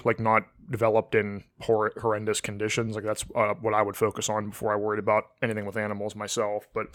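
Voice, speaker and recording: Casual man with a lowish, dry-recorded voice. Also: fairly bright tone, no room sound. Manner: tentative, thinking aloud, frequent "like". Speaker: podcast co-host. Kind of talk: casual unscripted chat.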